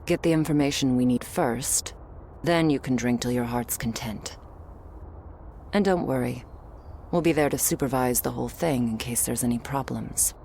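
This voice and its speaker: A woman's voice: a bass voice, moderate pace, gravelly, warm tone, slight background noise. A woman playing a stern, irritable vampire guard in a scripted audio drama, curt and scolding, giving orders. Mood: exasperated, firm.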